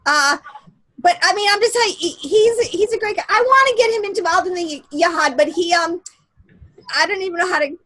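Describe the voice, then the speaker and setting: Woman, very high voice, enthusiastic, halting, trails off. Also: a bit bright, rough recording. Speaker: call participant. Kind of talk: casual group call.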